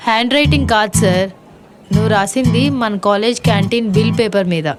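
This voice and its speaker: A woman's voice: medium pitch, fast, over loud music, lightly acted. A woman playing a wry, teasing young college student in a comedy audio drama. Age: young adult.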